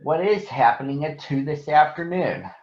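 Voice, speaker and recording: A man voicing an earnest, affectionate, childlike boy from a storybook in a simple, gentle manner, lo-fi recording. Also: on the high side, normal speed, reverberant, close to an everyday voice. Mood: curious.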